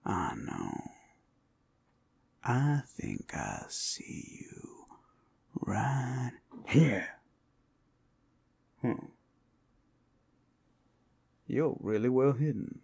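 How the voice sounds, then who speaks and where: A very low, gravelly werewolf acted out by a man for an ASMR roleplay, teasing and possessive. He stretches his words slowly and swings widely in pitch, with a breathy growl in his murmur. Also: wildly swooping pitch, a little mellow, exaggerated voice. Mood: playful hunting.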